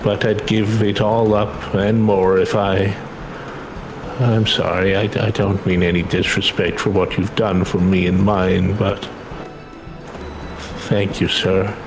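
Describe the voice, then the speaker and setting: A man voicing a humble, grieving parent in a game, speaking in a halting, apologetic and polite way. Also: low voice, level tone, music in the background, poor audio.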